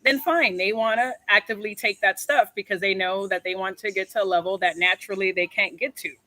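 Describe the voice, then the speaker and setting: A woman's voice: medium pitch, a little fast, sharp tone, no room sound, rough recording. A young woman personal trainer in casual, blunt chat, swearing and laughing easily, then coaching a workout.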